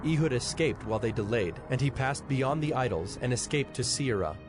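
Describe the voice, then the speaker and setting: A man narrating scripture in a solemn, measured storytelling manner. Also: medium pitch, lively intonation. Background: music.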